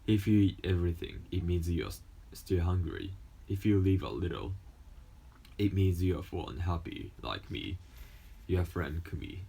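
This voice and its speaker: A young man whose first language is Japanese, speaking English with a mostly American accent and a slight Japanese trace, reading textbook lessons aloud with halting restarts. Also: deep voice, animated, gravelly, dark tone, breathy edge. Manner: halting, with restarts and self-corrections. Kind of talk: casual talk, then reading school textbook passages aloud.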